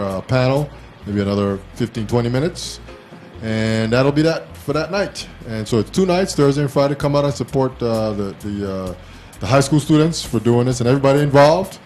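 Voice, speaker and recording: A man's voice: mid-range voice, fairly quick, darkish tone, soft background music. A man hosting a casual, informal talk show interview in a self-deprecating way.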